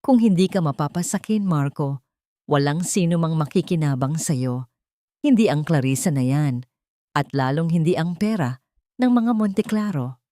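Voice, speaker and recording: A woman's voice: deep voice, lively intonation, moderate pace, mellow, no room sound, clean studio sound. A woman voicing a jealous, betrayed, vengeful mistress in an emotional, accusing way for an audio story.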